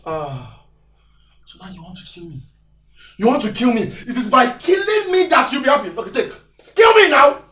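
A shouty defensive husband in a film fight, high voice all over the place. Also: male voice, sing-song, normal speed, long pauses. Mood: angry. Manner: shouting, exasperated, repeating himself. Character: angry defensive husband and father. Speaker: husband arguing with wife. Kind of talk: acted domestic drama.

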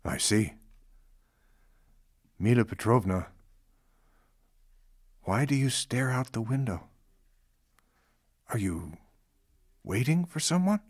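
An actor playing a gentle but persistent male patient in a radio drama, questioning earnestly and a little hesitantly. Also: medium pitch, big pitch swings, slow, long pauses, dry recording, clean studio sound, exaggerated voice. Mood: probing, hesitant.

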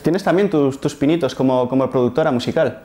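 A man in his thirties interviewing a guest in a TV studio, asking questions in a halting way with repeated words, on a lapel mic. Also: mid-range voice, dark tone. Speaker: journalist and interviewer. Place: TV studio set.

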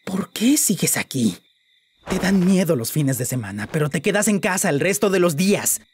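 A performer with a Latin American accent playing a lovesick, emotional demon prince and unhappy husband in an animated fan dub. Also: medium pitch, normal speed, a little hiss or hum, natural voice. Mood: weary, cold. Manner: theatrical, emotional, swinging from purring to outraged. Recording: loud music behind the voice.

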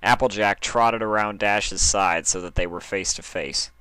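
Deep, crisp male story narrator. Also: a little slow, bright, forceful tone, no room sound. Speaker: fan fiction narrator.

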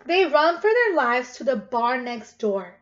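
Woman, thirties, high voice, children's read-aloud teacher, questioning, dull webcam audio. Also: very animated, a little slow, a bit bright. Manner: repeats questions, addresses children as friends. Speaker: teacher reading aloud to young pupils. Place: living room at home, indoors. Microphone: webcam at about arm's length. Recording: dull webcam sound with clear noise.

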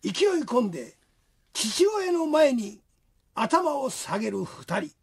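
A woman's voice: high voice, very animated, takes it slowly, husky. A woman narrating a radio drama in a dramatic, scripted storytelling style.

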